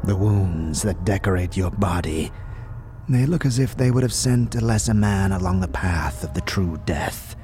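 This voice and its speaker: A man playing a frail, weary old scribe in a fantasy audio drama, speaking solemn archaic lines with a breathy, wheezing, whispery rasp. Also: low voice, lively intonation, darkish tone. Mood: probing, weary. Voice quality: breathy, wheezing, rattling with whispered trailing phrases. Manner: slow, solemn, archaic formal speech. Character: frail, weary, ancient undead-order scribe, solemn and contemptuous. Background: music behind the voice.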